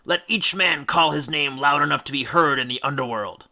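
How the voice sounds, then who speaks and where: A man voicing a commanding sea captain from a storybook, shouting urgent orders to his rowers. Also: a little high, normal speed, creaky, exaggerated voice. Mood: urgent, rallying. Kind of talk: dramatic storybook reading.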